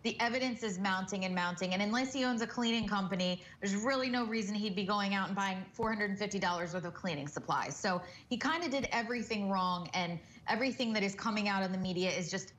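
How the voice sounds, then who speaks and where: A woman's voice: medium pitch, quick pace, few pauses, fairly bright tone, a little hiss or hum. Frank woman attorney on a video call. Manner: frank, conversational. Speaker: trial and family law attorney, guest. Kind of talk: TV legal commentary. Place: home study with bookshelves.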